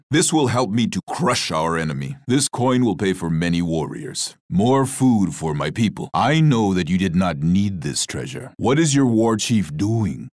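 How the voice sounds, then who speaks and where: An actor playing a proud, stern Sioux war chief in a strategy video game, speaking in a measured, declamatory way. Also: male voice, low-pitched, dry recording, clean studio sound. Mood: confident, approving. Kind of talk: scripted strategy game character lines.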